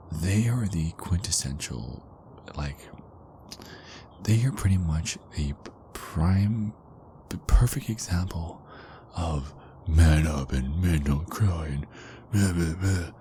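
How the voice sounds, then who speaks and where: A man playing a tender, insecure werewolf boyfriend in an intimate ASMR roleplay, speaking in a hushed, halting way that often slips into whispers. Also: sits low, a little hiss or hum. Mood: confiding, earnest.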